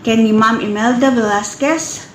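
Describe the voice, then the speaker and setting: A woman with an Ilocano accent reading out shout-out greetings, halting and stumbling over names. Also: on the high side, steady tone. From northern Philippines. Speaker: listener sending shout-out greetings. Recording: echoey room with faint background noise.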